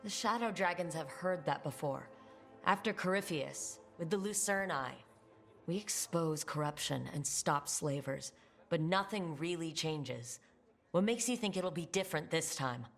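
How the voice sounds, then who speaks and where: A pragmatic heroine from a fantasy game. Her voice is very low, composed and direct, with a questioning manner. Music plays faintly behind. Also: lively intonation, measured pace. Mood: skeptical. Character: level-headed female fantasy adventurer, questioning and pragmatic. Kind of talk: video game drama acting.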